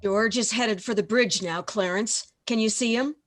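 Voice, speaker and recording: A woman's voice: on the high side, normal speed, faint music behind, close to an everyday voice. A woman playing a watchful senior angel who explains and guides in a radio play. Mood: explaining, urgent.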